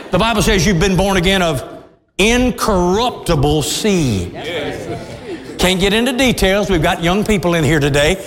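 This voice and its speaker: A middle-aged pastor preaching a sermon with passion, often shouting and laughing as he speaks. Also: sits high. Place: church sanctuary. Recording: long hall reverb.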